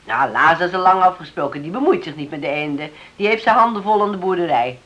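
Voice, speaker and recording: A woman's voice: lowish voice, expressive, fast, fairly bright tone. A woman acting in a Dutch radio drama as a shrewd, self-assured farm owner with a businesslike manner. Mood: firm. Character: shrewd, firm widowed farm owner driving a bargain.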